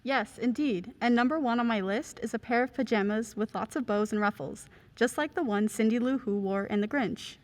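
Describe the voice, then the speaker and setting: A woman's voice: a little high, normal speed, hardly any pauses, close to an everyday voice. A woman playing a chirpy co-announcer, reading scripted sponsor banter for a radio show. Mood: cheerful, promotional.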